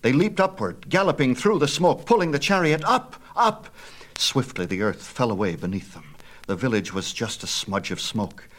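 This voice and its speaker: A man narrating a myth as an audiobook storyteller, with a theatrical, measured and emphatic delivery. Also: mid-range voice, expressive, fairly bright tone.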